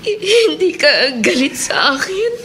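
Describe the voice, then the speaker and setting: Remorseful pinay mom, high swooping voice, halting and emotional, radio melodrama. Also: female voice, big pitch swings, fairly bright tone, a little hiss or hum, heavily acted. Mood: tearful, remorseful. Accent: Filipino. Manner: hesitant, stammering, emotional. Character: remorseful middle-aged mother who long ago left her son. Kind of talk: melodramatic Filipino radio drama acting.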